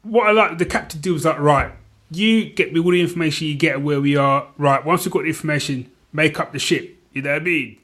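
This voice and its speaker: Animated, slightly high-voiced man chatting. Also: quick pace. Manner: animated retelling, slangy, peppered with "you know what I mean". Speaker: podcast co-host. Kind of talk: casual chat about TV shows.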